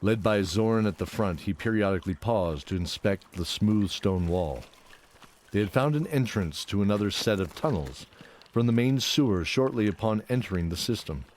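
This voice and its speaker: A man's voice: lowish voice, a little mellow, slight background noise. A man narrating a scripted fantasy story as the narrator of an audio drama. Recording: music under the voice.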